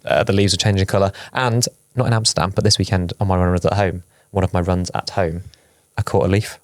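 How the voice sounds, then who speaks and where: A man's voice: sits low, fairly even intonation, brisk, dry recording, studio quality. A man co-hosting a podcast in a chatty, rambling way, teasing his co-hosts. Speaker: podcast co-host. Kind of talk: casual podcast chat.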